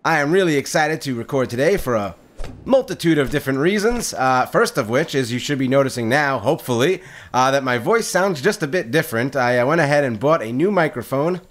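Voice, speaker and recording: A man hosting a gaming video, talking in a casual, upbeat way with a loud voice and lots of fillers, recorded on a condenser mic at home. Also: medium pitch, lively intonation, fairly quick. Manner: upbeat, chatty, frequent fillers and repeated words. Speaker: gaming channel host. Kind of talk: casual solo gameplay commentary. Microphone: condenser mic on a boom stand.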